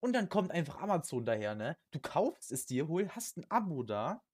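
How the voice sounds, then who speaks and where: A young man co-hosting a casual podcast chat, slangy and jokey, often repeating words and phrases in quick bursts. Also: a little high, sing-song, a little mellow, breathy edge. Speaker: podcast co-host.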